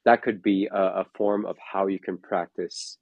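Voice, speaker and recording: A man's voice: low voice, steady tone, normal speed, a little mellow, no room sound, muffled recording. A man in his forties, a fitness coach, talking in a casual chat, rambling with lots of fillers and restarts. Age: 40s.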